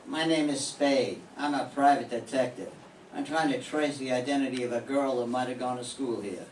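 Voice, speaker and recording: A man's voice: medium pitch, moderate pace, close to an everyday voice. An older man playing a dry, sardonic, hard-boiled male private detective who also narrates, in an amateur 1940s-style radio play. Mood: questioning. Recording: echoey room from far off, faint hiss.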